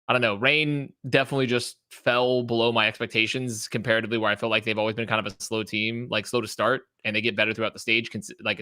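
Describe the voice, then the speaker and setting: A man's voice: on the low side, bright, forceful tone, no room sound. A man giving casual match analysis as an esports podcast co-host.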